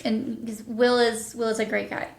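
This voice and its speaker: A woman speaking earnestly and casually as an interviewed producer, in a measured way. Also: a little high, level tone, creaky. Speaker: executive producer being interviewed. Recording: roomy echo.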